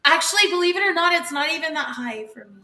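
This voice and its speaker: High-voiced woman singer heard off-mic. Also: lively intonation, fast, far from the mic, heavy background noise. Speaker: singer, guest. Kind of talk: casual interview.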